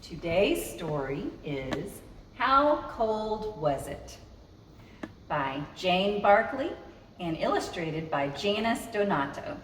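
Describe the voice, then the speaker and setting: A woman's voice: fairly low pitch, big pitch swings, takes it slowly. A woman reading a children's story aloud as a storytime host, expressively and speaking directly to young listeners. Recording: roomy, echoey, distant mic with noise.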